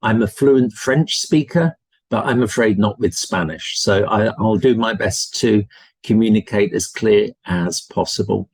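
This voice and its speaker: A man's voice: on the low side, a little slow, slightly rough, dry recording. A man with a British English accent, a university representative giving a polite, enthusiastic webinar presentation over a video call. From United Kingdom.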